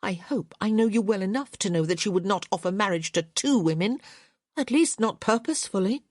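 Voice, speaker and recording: A woman voicing a proud, sharp-tongued young noblewoman in an audiobook, her speech haughty, clipped and cutting. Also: mid-range voice, brisk, no room sound, studio quality, pitched above the performer's own voice, close to an everyday voice. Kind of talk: audiobook character voice.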